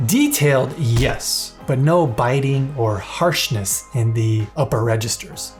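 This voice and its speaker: Enthusiastic man narrating a review. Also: mid-range voice. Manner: playful, enthusiastic. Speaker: product reviewer.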